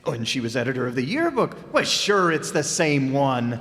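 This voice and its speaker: A man's voice: medium pitch, very animated, moderate pace, fairly bright tone. A man acting a breezy, oblivious husband in an old-time radio sitcom, with exclamatory comic delivery. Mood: eager, breezy. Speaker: amateur radio player. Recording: echoey hall stage performance.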